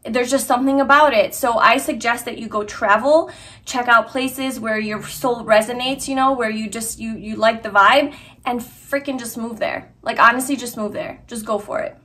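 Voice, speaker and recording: A woman's voice: fairly high pitch, moderate pace, a bit bright. A young woman from Hungary talking casually and earnestly to her vlog viewers, with lots of fillers and restarts. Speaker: vlogger. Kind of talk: casual personal vlog talk.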